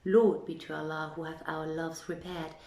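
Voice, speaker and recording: Woman reading as lovestruck young princess, storybook verse, formal, drawn-out, low pitch, echoing room. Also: little pitch movement, dark tone. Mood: rapturous. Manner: formal, poetic, drawn-out phrasing. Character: ardent young princess in love, reciting verse. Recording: roomy echo with music underneath.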